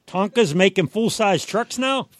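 A man's voice: high voice, expressive, measured pace, dry recording, studio quality. A man co-hosting a home improvement show with folksy, playful, joking advice. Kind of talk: jokey scripted home-advice banter.

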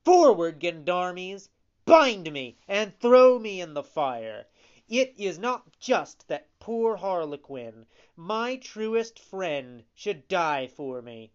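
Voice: medium pitch, sing-song, takes it slowly, smooth, lo-fi sound, pitched above the performer's own voice. A narrator voicing a brave little wooden boy puppet who speaks imploringly and with great feeling. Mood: heroic, defiant.

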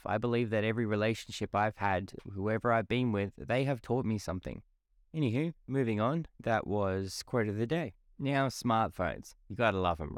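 A man in his twenties with a fairly low voice, hosting a motivational podcast on his own. He is casual and blunt and swears freely. The sound is dry and close, with no room echo. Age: 20s. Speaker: podcast host. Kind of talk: solo motivational podcast monologue.

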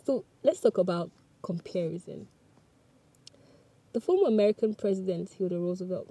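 A woman's voice: lowish voice, vocal fry, dark tone, husky. A woman whose first language is Yoruba, giving an earnest scripted motivational talk on a podcast and speaking straight to the listener. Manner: earnest and chatty, addresses the listener directly.

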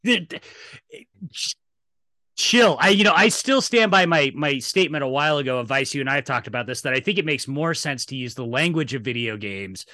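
Guy podcast host ranting about his takes, bright punchy voice, kinda high, super dry sound. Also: brisk, dry recording. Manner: emphatic, opinionated, profane. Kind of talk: casual film discussion, opinion rant.

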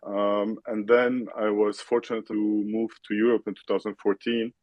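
A man from Lebanon, a guest on an online chat, speaking in a measured way with frequent restarts and fillers. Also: fairly low pitch, flat delivery, no room sound, muffled recording. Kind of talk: recorded online audio chat.

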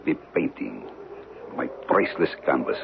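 A man's voice: very deep voice, animated, normal speed, gravelly, heavily acted. An actor playing a suave, scheming villain with a foreign accent, choosing his words with courtly precision in an old radio drama. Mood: smooth, calculating. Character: suave, scheming foreign villain who deals in stolen art. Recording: muffled, noisy old radio broadcast.

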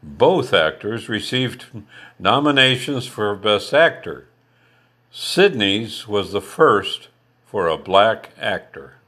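An older man hosts his own podcast, reading a prepared biography at an unhurried pace. His voice sits a little low but has a bright, forward edge. He is from Canada, and French was his first language. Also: very animated. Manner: measured, deliberate reading. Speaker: podcast host. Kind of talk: scripted biographical narration.

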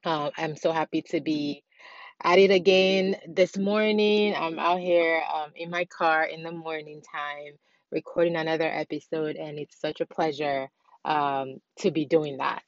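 Low-voiced woman rambling in her car. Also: fairly even intonation, a little slow, dry recording, muffled recording. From Nigeria. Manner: rambling, many fillers and restarts. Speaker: podcast host. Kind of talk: casual solo podcast chat. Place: inside a car.

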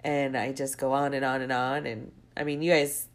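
A woman's voice: rumbling low, level tone, moderate pace. A young adult woman hosting her own podcast, stuffy and congested from a cold, rambling off on tangents and circling back. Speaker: podcast host. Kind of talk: casual solo podcast monologue.

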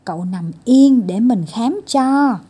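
A woman's voice: fairly high pitch, expressive, slow pace, mellow, breathy edge, full character voice. A woman voicing a tiny male doctor in a children's tale, patient and coaxing but mildly exasperated. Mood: coaxing, reassuring. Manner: coaxing and scolding.

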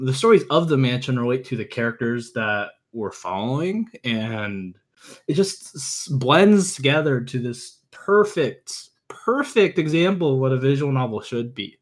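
A man speaking as a podcast guest, earnest and opinionated, often halting as he searches for words in casual chat. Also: medium pitch, lively intonation. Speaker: podcast guest, visual novel enthusiast.

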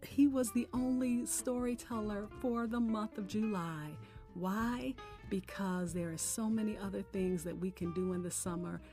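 A woman hosting a podcast, delivering scripted announcements and poetry in a deliberate, expressive way. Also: mid-range voice. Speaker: podcast host. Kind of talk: scripted show sign-off and poetry recitation. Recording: music bed under the voice. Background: music.

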